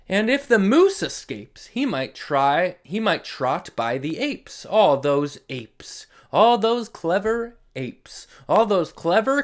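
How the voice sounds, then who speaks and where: A man hosting a read-along for children, reading aloud expressively with dramatic emphasis and chatty asides to young listeners. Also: on the high side. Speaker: host of a children's read-along story series.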